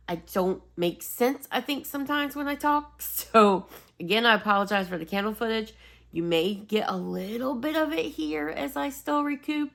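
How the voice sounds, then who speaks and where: A woman vlogger chatting casually and rambling apologetically, slightly raspy and sniffly as she gets over a cold. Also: mid-range voice, a bit bright. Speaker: host of a home fragrance video channel. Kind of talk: casual rambling vlog chat.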